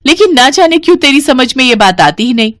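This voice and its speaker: A woman's voice: high voice, big pitch swings, fast talker, a bit bright, no echo, studio quality, voice pushed up. Woman voicing an elder sister-in-law lecturing.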